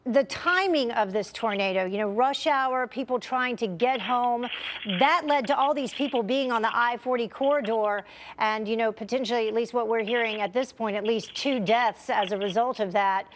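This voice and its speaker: A woman's voice: a little high, moderate pace, a little hiss or hum. A woman anchoring live breaking news and putting questions to a guest in a broadcast style.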